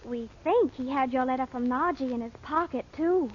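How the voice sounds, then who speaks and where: On a muffled old radio recording, a woman plays an earnest girl. Her voice is very high, eager and questioning, in the manner of a 1940s serial. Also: moderate pace, slight background noise, lightly acted. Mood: explaining. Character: earnest, inquisitive young daughter, a girl.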